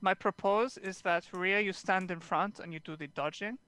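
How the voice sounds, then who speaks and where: Woman voicing a bright-toned foreign fighter, broken English, exotic accent, sincere. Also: on the low side, moderate pace, no echo, close to an everyday voice. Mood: earnest. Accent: made-up fantasy accent. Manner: drops articles, clipped broken English. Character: earnest foreign warrior woman, broken grammar. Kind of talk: tabletop roleplay in character.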